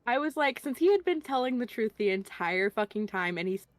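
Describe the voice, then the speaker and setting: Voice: mid-range voice, animated, brisk, no room sound. Hesitant player restarting sentences, dry sound. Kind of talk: casual post-session chat.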